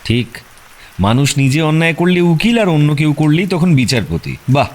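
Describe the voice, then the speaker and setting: An actor playing a composed, wry detective with a dry, teasing, self-assured manner in a radio drama. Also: male voice, a little high, sing-song, normal speed.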